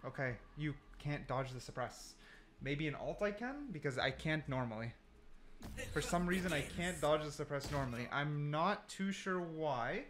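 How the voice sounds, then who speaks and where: Higher-pitched man giving casual gameplay commentary. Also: lively intonation, moderate pace, fairly bright tone, a little hiss or hum. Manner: informal, thinking aloud with self-corrections. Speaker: gaming video creator. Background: background music.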